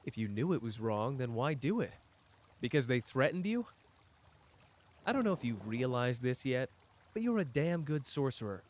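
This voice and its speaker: A man playing a powerful, cocky but wounded sorcerer in an intimate audio roleplay, talking casually and teasingly straight to the listener with pauses. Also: medium pitch, sing-song, a little hiss or hum, natural voice. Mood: hurt, confronting.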